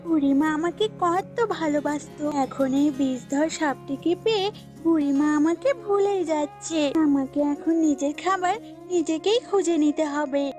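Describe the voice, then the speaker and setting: A sulky, jealous goat from a children's cartoon, played by a woman, in a high voice. It scolds and grumbles like a neglected pet, with music playing behind. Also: moderate pace, darkish tone, lightly acted. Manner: scolding, complaining. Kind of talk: cartoon voice acting in a children's fable.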